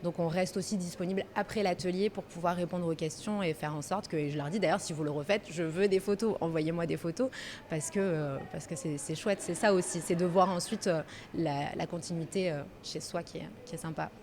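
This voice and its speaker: A woman's voice: lowish voice, brisk, a little mellow, slight background noise. A woman in her thirties from Marseille, a pastry workshop manager, talking easily and a little hesitantly in a casual interview. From Marseille, France. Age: 30s. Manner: easygoing, hesitant, thinks aloud.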